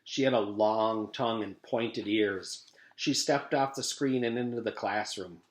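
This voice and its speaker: A man's voice: fairly low pitch, measured pace. A man reading a children's story aloud as a teacher would to a class. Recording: echoey room, home-made reading.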